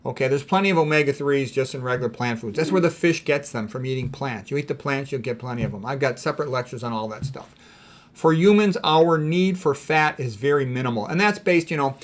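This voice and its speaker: A man lecturing informally as a video host, reading out quotes and commenting on them in a blunt, opinionated, conversational way. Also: fairly high pitch.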